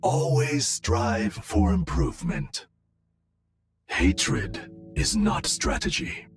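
An actor playing a serene, wise robot monk from a video game, speaking in measured, philosophical sayings. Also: male voice, fairly high pitch, sing-song, gravelly, faint music behind, exaggerated voice. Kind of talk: video game hero voice lines.

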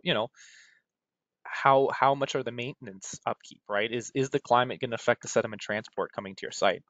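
American guy doing a webinar talk, lowish voice, chatty explainer style, kinda muffled lo-fi audio. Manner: conversational, often checking in with "right?". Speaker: webinar presenter. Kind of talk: informal technical webinar presentation. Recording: roomy, dull lo-fi webinar audio.

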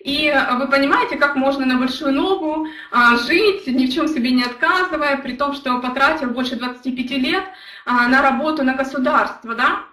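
Brief: young woman, high bright voice, earnest storyteller, roomy echo, a bit off-mic. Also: moderate pace, distant mic. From Ukraine. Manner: earnest, storytelling, addresses chat viewers directly. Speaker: network marketing leader. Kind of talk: motivational live stream talk.

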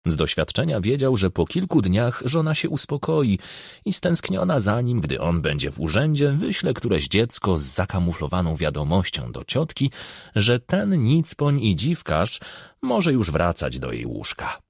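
Male audiobook narrator: husky, creaky, highly animated reading of fiction, dry sound. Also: moderate pace, no echo.

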